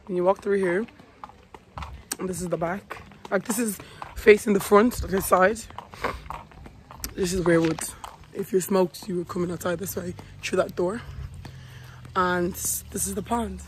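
A woman in her twenties, hoarse after losing her voice, giving a casual vlog tour of a house while filming herself on a phone held at arm's length. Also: on the low side, steady tone, a little slow, long pauses. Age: 20s. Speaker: vlogger. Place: large house and garden, indoors and outdoors. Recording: phone audio with clear background noise.

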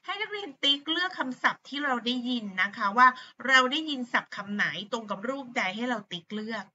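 Bright Thai woman teaching English words. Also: high-pitched, very animated, a little hiss or hum. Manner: patient, repeats words slowly, many fillers. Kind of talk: teaching vocabulary to pupils. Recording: dull lo-fi sound.

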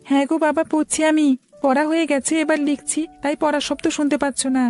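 A woman's voice: high-pitched, little pitch movement, brisk, darkish tone, faint music behind, lightly acted. A woman voicing a cheerful, obedient young schoolboy in a cartoon story. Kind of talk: Bengali cartoon story acting.

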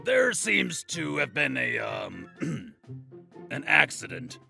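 A man playing an awkward, sheepish blacksmith, halting and hesitant, in a fantasy audio drama. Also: mid-range voice, huge pitch swings, vocal fry, sharp tone, soft background music, heavily acted. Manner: halting, hesitant, full of ums. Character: awkward, sheepish male blacksmith ordering supplies.

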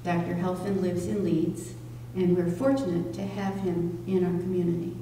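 An older woman reads a prepared introduction at a podium, measured and careful. Her tone is mellow. She sounds far from the microphone in a hall that echoes heavily. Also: fairly low pitch, slight background noise. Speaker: event organizer introducing a speaker. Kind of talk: prepared introduction read from notes. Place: indoor community hall at a podium. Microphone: stand microphone at podium, picked up distantly.

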